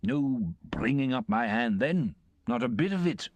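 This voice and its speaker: A man voicing a pompous, self-important middle-aged uncle who lectures slowly and with emphasis. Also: mid-range voice, lively intonation, a little mellow, no room sound, voice pushed up, close to an everyday voice. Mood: lecturing.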